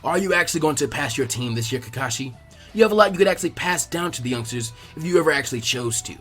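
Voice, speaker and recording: A man's voice: a little high, expressive, fast, fairly bright tone, husky, faint music behind. A man voicing a grizzled, wry old warrior leader in an anime fan story. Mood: probing.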